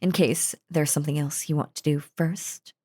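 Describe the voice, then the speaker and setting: A woman's voice: low-pitched, steady tone, normal speed, dark tone. A woman playing a possessive, lovestruck yandere wife in an intimate audio roleplay, talking in a doting, gushing, cheerfully unhinged way. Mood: affectionate, spoiling. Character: lovestruck possessive yandere wife, sweetly menacing crazy stalker. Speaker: voice actor.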